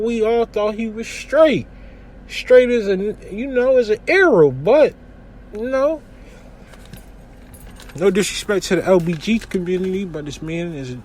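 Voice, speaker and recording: A young man from hosting a casual solo podcast from his kitchen, giving news commentary in a relaxed, slangy way with lots of shout-outs. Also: high voice, a little hiss or hum. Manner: laid-back slangy talk with frequent shout-outs. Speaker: podcast host.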